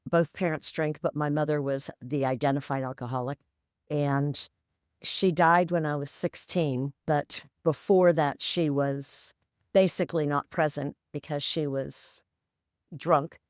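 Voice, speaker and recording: A woman's voice: low voice, fairly even intonation, a little slow, darkish tone, smooth, no echo, clean studio sound. A woman, candidly telling her life story as a podcast guest in a matter-of-fact, wry way. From San Francisco, USA. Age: 60s. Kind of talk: reflective storytelling interview.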